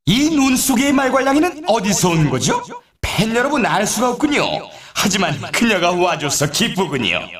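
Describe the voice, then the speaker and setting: An excitable male snowboard announcer for a video game, pitched high with big swings in intonation. He delivers scripted race commentary with showy, dramatic flair. The sound is roomy and echoing. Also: moderate pace, few pauses. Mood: dramatic, admiring. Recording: roomy echo, slightly distant mic.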